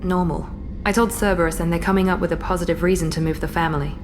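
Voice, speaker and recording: A woman's voice: on the low side, steady tone, quick pace, background noise, close to an everyday voice. A woman playing a cool, guarded operative. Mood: reserved. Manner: formal, restrained, clipped. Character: cool, reserved female operative, guarded and precise. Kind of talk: video game voice acting.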